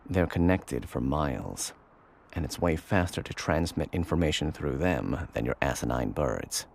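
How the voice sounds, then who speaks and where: A man playing a haughty, sardonic young intelligence agent in an anime audio drama, with a gravelly voice. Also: very deep voice, expressive, normal speed, full character voice. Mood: dry, sardonic.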